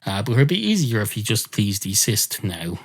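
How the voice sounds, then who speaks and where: A man's voice: lowish voice, sing-song, moderate pace, slightly rough, exaggerated voice. Talking cat played by a male storyteller: demanding, pedantic, foul-mouthed, curt and formal, for an improvised comic tale. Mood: haughty. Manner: curt, formal yet sweary.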